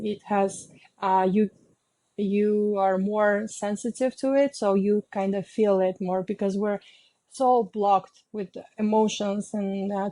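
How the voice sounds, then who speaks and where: Slow, mellow woman. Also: medium pitch. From Kazakhstan. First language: Kazakh. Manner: halting, many fillers and pauses. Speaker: guest, sound healer and musician. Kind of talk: reflective interview answers.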